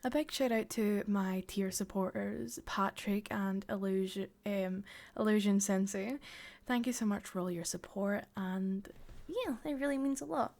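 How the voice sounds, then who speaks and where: A woman's voice: medium pitch, unhurried, gravelly, a little mellow. A woman with an English accent, an ASMR creator, chatting casually to her listeners.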